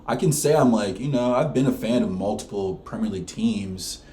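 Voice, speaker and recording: A man's voice: lowish voice, moderate pace, dark tone, echoey room, a little hiss or hum. A young man from Florida co-hosting a casual soccer podcast, laid-back and slangy, saying "bro" a lot. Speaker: podcast co-host. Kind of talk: casual sports chat.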